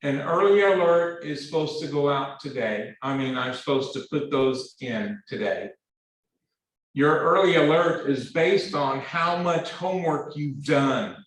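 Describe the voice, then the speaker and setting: A man lecturing a math class, speaking in a halting, earnest way with repeated words and many fillers. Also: fairly high pitch, animated. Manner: earnest, pleading. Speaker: math instructor. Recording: very echoey classroom, distant mic.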